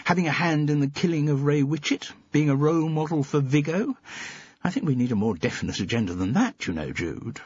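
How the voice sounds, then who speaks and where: A man voicing a sceptical, sharp-tongued woman who questions her friend sardonically. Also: fairly high pitch, animated, a little fast, breathy edge, dull audio, voice pushed up. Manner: sardonic, impatient.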